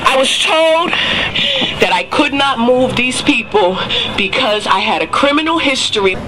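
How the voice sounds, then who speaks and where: A woman's voice: a little high, lively intonation, a little slow, over music, rough recording. A middle-aged woman from Boston with a Boston accent, giving emphatic, raw public testimony at a speak-out. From Boston, USA. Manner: emphatic, repetitive and raw, rising to near-shouting. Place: public speak-out at a state house.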